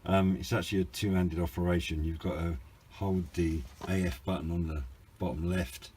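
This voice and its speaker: A man's voice: deep voice, fairly even intonation, moderate pace, darkish tone, a little hiss or hum. A British man giving an informal, hesitant and understated hobby product review, rambling a little as he explains. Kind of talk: informal unboxing and review narration.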